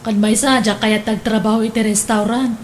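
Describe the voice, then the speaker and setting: A woman's voice: mid-range voice, fairly even intonation, moderate pace, close to an everyday voice. A woman playing a practical, guarded younger working woman in a radio drama, with an Ilocano accent. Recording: faint background noise.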